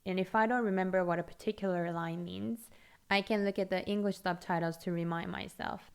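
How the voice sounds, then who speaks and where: A woman whose first language is Korean, calmly walking through a tutorial as a scripted voice-over, explaining steadily step by step. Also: lowish voice, fairly quick, clean tone. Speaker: language-learning video host.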